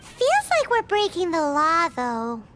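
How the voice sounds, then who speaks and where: Super high squeaky lil fairy sidekick for a game, childish, swoopy, music behind. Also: female voice, wildly swooping pitch, slow pace, fairly bright tone, full character voice. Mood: indignant, uneasy. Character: tiny, squeaky, childlike floating fairy companion. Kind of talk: video game voice acting.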